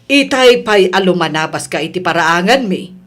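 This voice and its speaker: Woman playing older mother, lowish voice, touchy, grumbling, comedy radio drama. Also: expressive, moderate pace, fairly bright tone. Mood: suspicious, curt.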